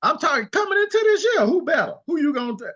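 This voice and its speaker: An older man co-hosting a casual sports talk show, animated and trash-talking as he rattles off stats. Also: very high-pitched, fast, muffled recording. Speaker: co-host.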